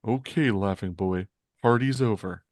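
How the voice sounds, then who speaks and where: A man's voice: fairly low pitch, measured pace, no room sound, studio quality, lightly acted. A man voicing a tough, wisecracking female superhero bruiser from a comic, blunt and sarcastic. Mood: wry.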